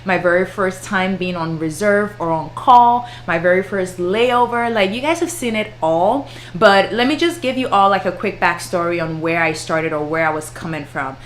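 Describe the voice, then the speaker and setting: A woman's voice: lowish voice, normal speed, few pauses, slight background noise. A woman, chatting casually with viewers on a livestream and laughing often. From Ghana. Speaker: flight attendant and YouTuber.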